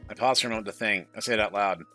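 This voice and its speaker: A man's voice: fairly low pitch, very fast, bright, forceful tone, faint music behind. A man playing an exasperated, wary male computer user, the incredulous and dramatic hero of a visual novel. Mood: wary, puzzled. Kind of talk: visual novel protagonist read-aloud.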